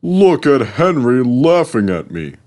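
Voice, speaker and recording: A man voicing a proud, boastful big express engine in a storybook, pompous, with drawn-out exclamations. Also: medium pitch, very animated, a little mellow, pitched above the performer's own voice. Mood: dismayed.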